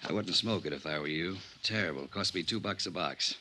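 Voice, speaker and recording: An actor playing a brisk, sardonic, chummy American insurance adjuster in a 1950s radio drama, speaking emphatically. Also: male voice, sits low, background noise, close to an everyday voice. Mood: chummy, exasperated.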